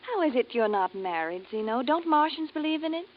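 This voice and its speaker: Earthwoman space explorer, highish voice, earnest, tender, vintage radio drama, muffled. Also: expressive, fairly quick. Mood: tender, curious. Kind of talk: 1950s radio drama acting.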